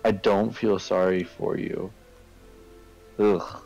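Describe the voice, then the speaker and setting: A low-voiced man with a gravelly creak talks casually on a game stream. He is halting and repeats words as he goes, with faint game music behind him. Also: level tone, darkish tone, muffled recording. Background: video game music.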